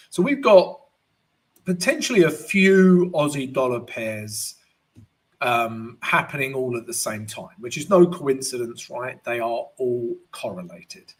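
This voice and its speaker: Croaky guy doing a live trading stream, chatty, keeps saying right and guys, middle-pitched voice. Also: slow. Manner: chatty, addresses viewers as guys, frequent "right?" tags. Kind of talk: live-stream market analysis.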